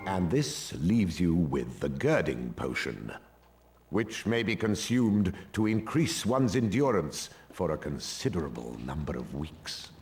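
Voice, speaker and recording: A man's voice: low-pitched, big pitch swings, a little slow, a little mellow. An actor playing a stern, cold male potions professor in a video game, speaking in a clipped, sneering, commanding way. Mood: cold lecturing. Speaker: teacher.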